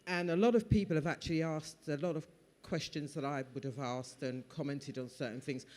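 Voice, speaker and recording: A man's voice: on the high side, fairly even intonation, fairly quick, a little mellow. Audience man asking a question in a hall. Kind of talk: question from the floor. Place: event hall. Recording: long reverb of a hall.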